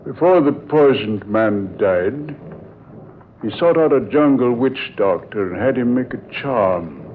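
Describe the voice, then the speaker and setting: An actor playing a grave doctor who calmly unveils a deadly secret in an old-time radio drama, with measured, deliberate storytelling. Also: male voice, medium pitch, close to an everyday voice. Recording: muffled, noisy old radio recording.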